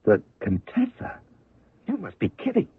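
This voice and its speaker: A man's voice: a little high, huge pitch swings, measured pace, slightly rough, heavily acted. An actor in an old-time radio drama playing a timid, dutiful, hesitant and stammering male office clerk. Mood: flustered, wary. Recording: dull lo-fi radio recording.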